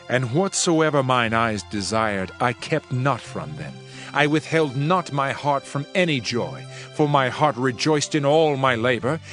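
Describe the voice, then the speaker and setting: A weary old-world king turned preacher, reciting scripture in a solemn, measured, oratorical way. His voice sits at a medium pitch. Music plays clearly behind him throughout the dramatized audio reading. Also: fairly bright tone. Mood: reflective, rueful. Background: background music.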